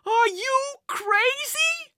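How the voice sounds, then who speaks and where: Angry storybook mum, yelling and telling off, voice way up high, super animated. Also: very slow, dry recording, clean studio sound, heavily acted. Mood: furious. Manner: shouting. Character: outraged, scolding mother of a young boy.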